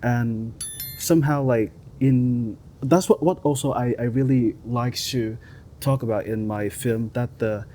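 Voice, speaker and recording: A man talking as a filmmaker guest on an interview podcast, hesitant, filling pauses with "like" and "um" and loose grammar. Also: medium pitch, dark tone, slight background noise.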